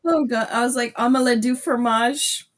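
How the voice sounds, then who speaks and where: A woman with a high, light voice co-hosts a late-night live stream from inside her closet. She is upbeat and laughs easily, keeping the chat loose and friendly. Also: steady tone. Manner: giggly, upbeat, quick to laugh.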